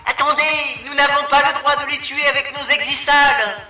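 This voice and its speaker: A man's voice: very high voice, little pitch movement, fairly quick, sharp tone, echoey room, recorded from a distance, soft background music, full character voice. A man voicing a childish, mischievous and bossy robot bear cub in an amateur video game dub. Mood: bossy, showy.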